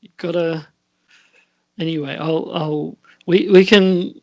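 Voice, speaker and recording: Guy hosting a casual podcast, slow and halting, trails off a lot, really dry sound. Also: fairly high pitch, fairly even intonation, darkish tone, no echo, lo-fi sound. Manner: halting, trailing off mid-sentence. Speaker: podcast host and scriptwriter. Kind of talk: casual chat.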